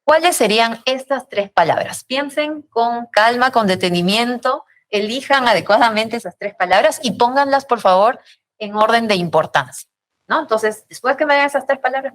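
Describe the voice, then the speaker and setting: A woman hosting a panel interview who guides her guests with clear instructions and sums up their answers. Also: a little high. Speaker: host, moderator.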